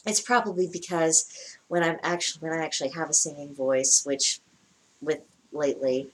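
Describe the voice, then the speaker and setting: A woman with a lower-pitched voice giving casual spoken commentary as a vlogger, wry and chatty, often laughing mid-sentence. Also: steady tone, normal speed, a little hiss or hum, rough recording. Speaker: vlogger reacting to a broadcast.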